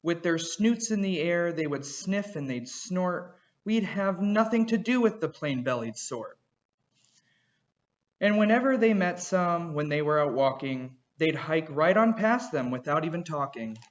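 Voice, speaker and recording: Male storyteller, high voice, rhythmic rhyming read-aloud, lo-fi home recording. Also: expressive, a little slow. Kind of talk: reading a children's picture book aloud.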